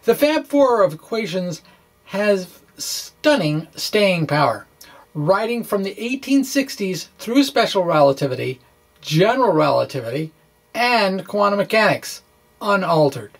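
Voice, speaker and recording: A science blogger gives a dramatic reading of technical writing at a very slow, measured pace. The pitch sits in a middle range, and the phrasing is deliberate and theatrical, loosening into casual, informal asides. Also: sing-song. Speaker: science blogger reading own blog aloud.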